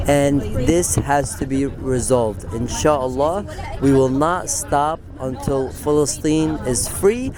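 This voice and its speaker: A man from Yemen, a protester giving an impassioned street interview statement in short, repeated slogans. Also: fairly high pitch.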